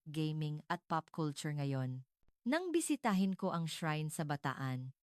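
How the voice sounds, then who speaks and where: A woman reading a scripted dramatic narration in a measured, earnest storytelling manner. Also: deep voice, clean tone, no room sound, clean studio sound.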